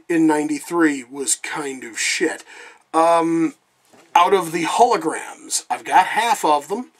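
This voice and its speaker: A man with a lingering cough reviewing trading cards in a casual vlog, rambling and swearing freely while talking off camera. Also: fairly high pitch.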